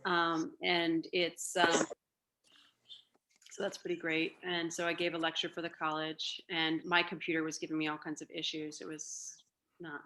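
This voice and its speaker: Chatty woman in her forties, bright. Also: sits low, monotone, normal speed. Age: 40s. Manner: chatty, rambling, with many fillers. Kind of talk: casual video-call chat.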